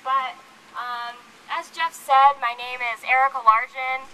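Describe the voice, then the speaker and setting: A woman's voice: high-pitched, little pitch movement, a little slow. A young woman from Virginia giving an informal, earnest, somewhat hesitant speech through a megaphone at an outdoor rally. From Virginia, United States. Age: young adult. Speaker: student rally speaker. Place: outdoors on a campus lawn. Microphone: handheld megaphone. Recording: megaphone outdoors, faint ambient noise.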